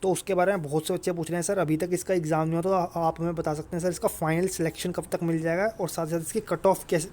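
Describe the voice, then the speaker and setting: A man's voice: fairly high pitch, level tone, fast, hardly any pauses, darkish tone. A man talking informally to students as a video host, repeating points emphatically and adding frequent check-in tags. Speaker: exam guidance video host. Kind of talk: informal advice talk to students.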